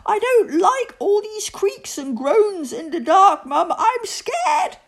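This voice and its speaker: A woman's voice: very high voice, little pitch movement, moderate pace, a little mellow, voice pushed up. A woman voicing an imaginative, easily frightened little boy playing knight in a storybook, with exclamatory, dramatic delivery. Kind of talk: storybook character voice.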